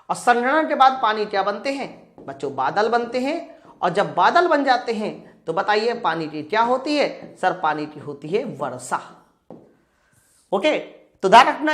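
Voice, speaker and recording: A woman teaching an exam-prep class, posing questions, answering them herself and repeating key points. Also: mid-range voice. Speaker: science teacher. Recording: echoey room sound.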